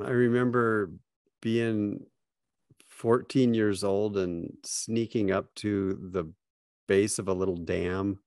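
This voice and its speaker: A middle-aged man talks slowly and thoughtfully, pausing often as he recalls old days on the water. His voice sits a little low, and the sound is dry and close, picked up by earbuds on a video call from a small home office. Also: smooth, no echo. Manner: slow, reflective, pauses often. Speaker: podcast guest, fly fishing writer. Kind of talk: casual storytelling. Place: home office with bookshelves, indoors. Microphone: wired earbuds, video call.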